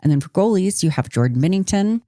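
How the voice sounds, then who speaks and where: A woman co-hosting a casual hockey podcast in a wry way, a little raspy with a winter cold. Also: fairly low pitch, animated, fast talker, warm tone, no echo, studio quality. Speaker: podcast co-host.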